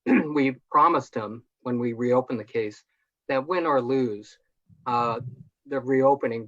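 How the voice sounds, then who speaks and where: An older man speaks earnestly as a panelist, now and then catching on fillers. His mid-pitched voice arrives over muffled, lo-fi video-call audio. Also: a bit bright. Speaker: panelist attorney. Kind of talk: panel remarks.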